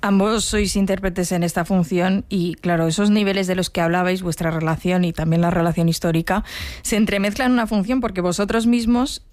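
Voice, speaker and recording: A woman's voice: lowish voice, steady tone, a little fast, a little mellow, no echo. A woman with an accent from Spain, hosting an interview in a conversational style. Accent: Spanish from Spain. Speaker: interviewer, host.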